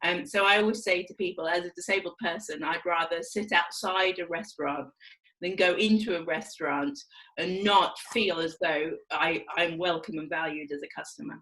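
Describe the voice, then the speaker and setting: A woman's voice: lowish voice, steady tone, normal speed, a bit bright, poor audio. A woman panellist speaking frankly and reflectively on a video-call panel, repeating her key points. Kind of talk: panel discussion.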